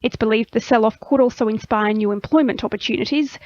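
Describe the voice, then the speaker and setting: A woman's voice: fairly high pitch, quick pace, a little mellow, no echo, lo-fi sound. An Australian woman reading a scripted radio news bulletin as the station's news reader. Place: dry radio studio.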